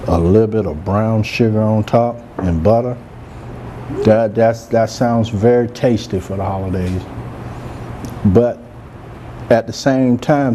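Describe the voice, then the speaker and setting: A soft-spoken man, chatting relaxed as he hosts a cooking demonstration in a large room. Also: medium pitch, a little hiss or hum. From Georgia, US. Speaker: cooking show host, chef. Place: large room with a live audience.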